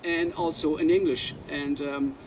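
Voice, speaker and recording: A man's voice: fairly high pitch, steady tone, unhurried, distant mic, slight background noise. An Irish man whose first language is Irish Gaelic, a musician telling rambling anecdotes at a press conference. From Ireland.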